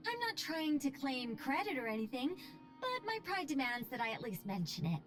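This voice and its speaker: An actress playing a poised, playful, self-assured angelic mage in a video game, airily polite and gently boastful. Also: female voice, sits high, big pitch swings, moderate pace. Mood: playfully proud. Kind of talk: video game character dialogue. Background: background music.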